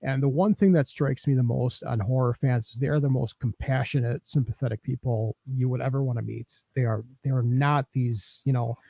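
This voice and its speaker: A man hosts a casual podcast and speaks earnestly, often repeating a word as he gets going. His voice is gravelly and mid-pitched, and the sound is dry and close. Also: fairly even intonation, fairly quick, few pauses, mellow, no echo. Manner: earnest, repeats words when starting. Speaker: podcast host.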